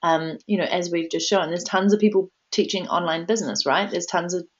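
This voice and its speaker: A woman co-hosting a live webinar Q&A, upbeat and quick to laugh. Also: fairly low pitch. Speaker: webinar co-presenter. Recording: dull lo-fi webinar audio.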